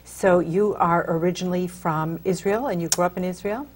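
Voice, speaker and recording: A woman's voice: lowish voice, fairly even intonation, moderate pace. Engaged woman interview host. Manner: engaged, encouraging, asks follow-ups. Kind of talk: hosted interview.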